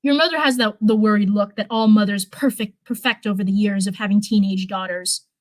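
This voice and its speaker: A woman's voice: medium pitch, steady tone, a little fast, dry recording, low-quality recording. A woman reading a story aloud as narration, now and then stumbling over a word and going back over it. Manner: stumbles over words and rereads them. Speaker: live stream host reading fan fiction.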